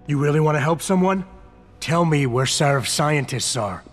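An actor playing a tough, blunt cyborg agent in a video game, speaking in a terse, stern, controlled way. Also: male voice, a little high, lively intonation, normal speed, soft background music, natural voice. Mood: cold accusing. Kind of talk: video game cutscene acting.

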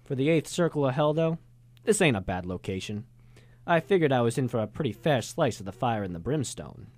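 A man acts as a world-weary, wisecracking ex-newspaperman in a noir radio play. His delivery swings with lively intonation and dry, slangy cynicism, recorded close and dry. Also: medium pitch, brisk, no room sound, close to an everyday voice. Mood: wry, casual. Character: cynical wisecracking male ex-journalist, hardboiled noir narrator. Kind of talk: hardboiled first-person noir radio drama narration.

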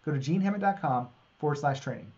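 A man in his forties hosts a business podcast in a fairly high voice. He talks upbeat and directly to listeners, recorded on a studio mic in a home office. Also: expressive, quick pace, muffled recording, background noise. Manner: upbeat, promotional, direct address. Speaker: podcast host. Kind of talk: podcast intro and interview. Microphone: studio mic on boom arm.

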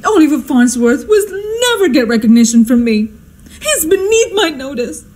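A woman's voice: high-pitched, big pitch swings, normal speed, darkish tone. A woman playing a histrionic society hostess and mother in a radio drama, speaking in a flustered, theatrical, exclamatory way. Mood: exasperated, indignant. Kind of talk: stagey radio drama acting.

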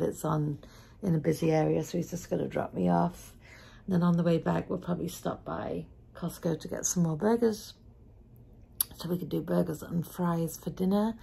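A middle-aged woman talks casually to her viewers in a low, very creaky voice. She is chatty and rambles a little, with a soft, mellow and slightly husky tone. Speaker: vlogger. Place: small living room at home.

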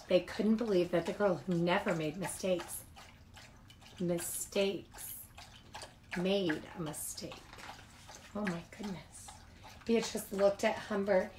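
A woman reading picture books aloud to young children like a childcare teacher, expressive and informal. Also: mid-range voice, slight background noise.

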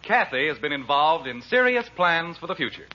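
Over music, a wry radio comedy narrator on a dull 1940s recording. His slightly high voice delivers scripted narration with mock solemnity. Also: sing-song, moderate pace.

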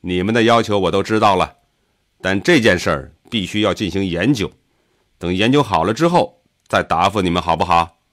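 A man voicing a mild, diplomatic county leader in an audiobook, measured and placating. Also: deep voice, a bit bright. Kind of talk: audiobook character voice.

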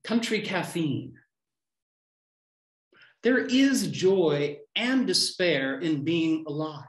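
A man in his fifties reads poetry in a fairly high voice for a man. He delivers short lines deliberately, with pauses between them. The sound is a roomy, echoey video call from a room at home. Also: sing-song. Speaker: poet reading own poems. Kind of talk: live poetry reading. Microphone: computer mic on a video call.

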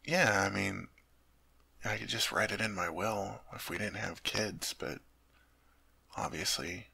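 A man voicing a doting young king in an audio roleplay, his voice gravelly and husky with a bright, tense edge. He stammers and repeats himself when flustered, then softens into gentle whispers. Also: lowish voice, unhurried, full character voice. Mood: tender whisper. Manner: soft tender whispering. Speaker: ASMR roleplay performer.

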